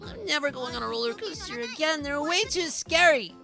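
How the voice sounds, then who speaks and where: A woman's voice: expressive, brisk. A woman voicing cute, girlish anime animal-girl friends in visual novel dialogue, childlike, playful and affectionate. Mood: excited. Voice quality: high, childlike. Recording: clear music behind the voice.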